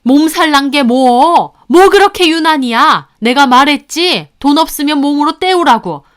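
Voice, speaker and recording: A woman's voice: high voice, lively intonation, a little slow, a bit bright, voice pushed up. A woman voicing a harsh, haughty older mother-in-law who scolds and demands in a dramatized story. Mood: angry scolding.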